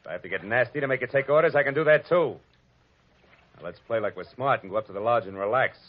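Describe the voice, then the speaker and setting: An actor playing a wry, wisecracking hard-boiled private detective who narrates a 1940s radio drama. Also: male voice, medium pitch, expressive, fast talker, close to an everyday voice. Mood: tough. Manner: dry, wisecracking, clipped. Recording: lo-fi old radio broadcast with music.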